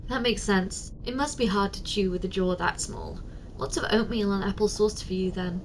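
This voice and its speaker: A woman playing a giant, doting, teasing office secretary in an ASMR roleplay, speaking softly and coaxingly straight to the listener. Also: medium pitch, normal speed, slight background noise, lightly acted, poor audio. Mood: playful, curious. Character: giant, doting, teasing female office secretary, tender toward tiny boss.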